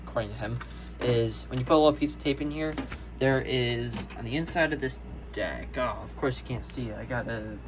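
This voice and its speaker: A man's voice: mid-range voice, a little fast. A young adult man gives a casual, unscripted show-and-tell in a rambling, easily sidetracked way. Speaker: hobbyist video maker. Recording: noticeable background noise, amateur home video.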